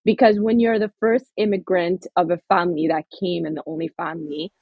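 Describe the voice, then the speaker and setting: Brief: husky low woman guest from Somaliland, earnest and thoughtful, dry close sound. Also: fairly even intonation, a little fast, no echo, phone-quality sound. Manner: earnest, reflective, frequent fillers and restarts. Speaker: author and guest. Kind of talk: interview conversation.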